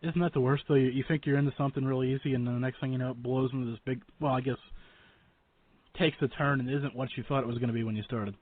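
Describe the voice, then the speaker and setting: Fast-talking man, dull lo-fi radio audio. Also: mid-range voice, fairly even intonation. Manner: chatty, informal, colloquial phrasing. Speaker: paranormal radio show and podcast host. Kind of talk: casual interview chat. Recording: dull, band-limited radio call audio.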